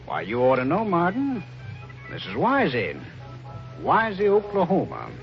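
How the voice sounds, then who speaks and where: An actor playing a sly, eerily knowing small-town counterman in a 1950s radio drama, teasing and drawn-out in delivery. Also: sits high, huge pitch swings, heavily acted. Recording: muffled old radio recording, faint music.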